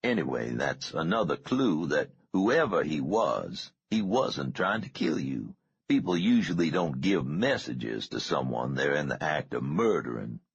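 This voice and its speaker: An easygoing male investigator, voiced by a man in an audiobook, with a breathy, husky bass. He questions gently and reassuringly, with lively intonation and no hurry. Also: lo-fi sound, exaggerated voice. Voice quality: very deep, husky. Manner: unhurried, probing, gently reassuring. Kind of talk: audiobook character dialogue.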